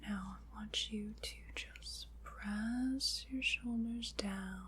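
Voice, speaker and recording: A woman performs as a solemn, gentle witch in a whispered ASMR roleplay. Her breathy whisper moves slowly and deliberately, soothing as it guides a ritual. A faint noise sits under the voice. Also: medium pitch. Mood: soothing, commanding.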